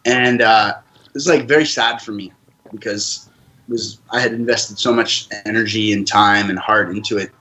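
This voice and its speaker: A man's voice: lowish voice, steady tone, normal speed, bright, forceful tone, rough recording. A man who grew up in Canada, a podcast guest telling his own story in an earnest, open way. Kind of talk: casual interview talk.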